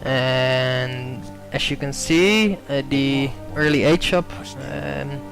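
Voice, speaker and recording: Gravelly Dutch-native man commenting on gameplay. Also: mid-range voice, takes it slowly, music in the background, low-quality recording. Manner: hesitant, many fillers and self-corrections.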